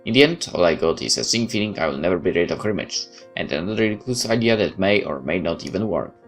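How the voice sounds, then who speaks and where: A man with a very deep voice and animated pitch reads a game's story aloud theatrically. He mixes in casual let's-play remarks, with faint music behind him. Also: fast. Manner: expressive, theatrical. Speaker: let's player. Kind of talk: dramatic read-aloud with casual asides.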